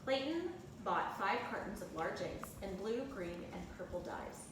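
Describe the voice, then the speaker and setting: A woman reads a children's story aloud like a teacher in class. Her mid-pitched voice sits far from the mic in a very echoey room, with clear noise behind it. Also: animated, measured pace. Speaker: second grade teacher reading aloud.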